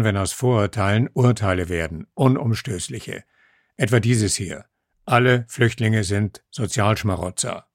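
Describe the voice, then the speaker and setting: A male reporter reading a scripted feature narration in a measured, even way. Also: on the low side, no room sound, clean studio sound.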